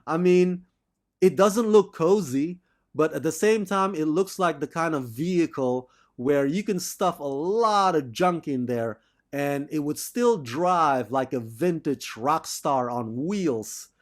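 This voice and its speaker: A male film reviewer talks through a movie in a measured, enthusiastic monologue. His voice sits a little on the high side, and he speaks slowly, letting each phrase land. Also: expressive. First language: not Thai.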